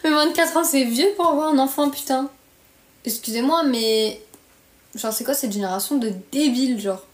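High-voiced young French woman, roomy sound. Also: moderate pace. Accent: French from France. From France. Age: early 20s. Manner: slangy, blunt, griping. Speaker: live streamer. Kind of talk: casual livestream chat. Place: small bedroom, indoors. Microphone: phone at arm's length. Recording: roomy echo on phone microphone.

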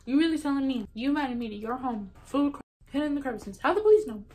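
A young woman chatting casually in her home kitchen, telling dramatic stories in an animated way. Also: high voice, fast. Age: young adult. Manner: animated, dramatic storyteller who breaks into song. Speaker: vlogger. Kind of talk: casual storytelling chat. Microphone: phone camera propped on counter.

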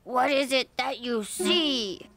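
A woman's voice: sits high, animated, slow pace, gravelly, a bit bright, no room sound, full character voice. A woman voicing a cheerful, eager young bear cub with a childlike voice in a children's cartoon. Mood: playful, eager. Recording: music behind the voice.

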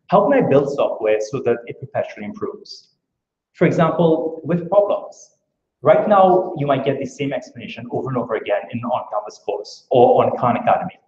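A man's voice: medium pitch, mellow, a little hiss or hum. A man from Trinidad and Tobago with a Trinidadian accent, giving an earnest conference talk full of rhetorical questions. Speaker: academic speaker. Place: lecture room. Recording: echoey, dull room recording.

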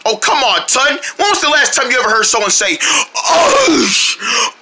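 A performer voicing an excitable, childlike cartoon character in playful, exclamatory banter on a noisy home recording. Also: sits high, fast talker. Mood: teasing.

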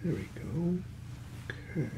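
A man in his thirties speaking softly and soothingly in an ASMR hairdresser roleplay, hushed and reassuring. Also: on the high side, lively intonation, a little slow, noisy background. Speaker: ASMR performer playing a hairdresser. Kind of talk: ASMR roleplay, soft-spoken. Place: small indoor room with salon products.

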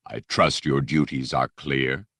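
Menacing dark lord, played by a male narrator: extremely low, silky, formal and measured, very dry audiobook sound. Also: level tone, no echo, full character voice. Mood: quiet menace. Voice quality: deep, rich, silky, mellifluous. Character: menacing, coldly polite armored dark lord. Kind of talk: audiobook character voice.

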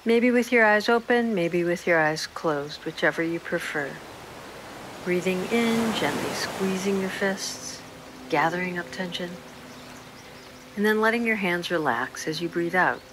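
A woman with a fairly low voice leads a guided meditation, speaking slowly and soothingly with gentle pauses. Faint outdoor nature ambience sits quietly behind her. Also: expressive, background noise.

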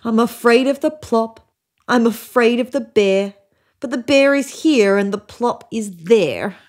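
A woman doing shrill panicked little rabbits. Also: measured pace, darkish tone, natural voice. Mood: pleading. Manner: high squeaky panicked cries.

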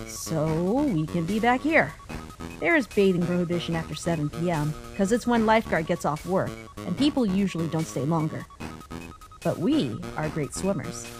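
A woman voicing a caring human child in a comic dub, a protective older sibling who speaks gently and reassuringly. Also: lowish voice, rough recording.

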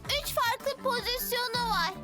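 A woman's voice: extremely high pitch, measured pace. A woman voicing a brainy, enthusiastic young motorbike who explains things precisely in a children's cartoon dub. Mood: impressed, explaining. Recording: loud cartoon music behind.

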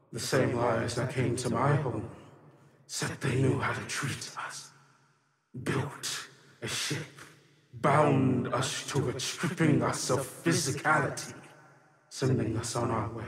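A man voicing an ancient, bitter, otherworldly sister in a tabletop game, solemn, halting and dramatic. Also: mid-range voice, lightly acted. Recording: long reverb on the voice.

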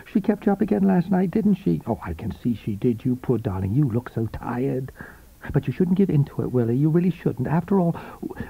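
A male narrator acts a gushing young mistress in a storybook. The voice is raised and husky, lilting widely as it coos patronising sympathy. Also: high-pitched, dry recording, narrow, tinny audio, pitched above the performer's own voice, heavily acted.

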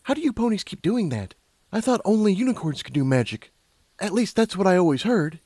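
A man's voice: high-pitched, big pitch swings, a little fast, a little mellow, dry recording, pitched above the performer's own voice. A man voicing an anxious, sceptical young female unicorn pony with a hesitant, questioning, earnest delivery.

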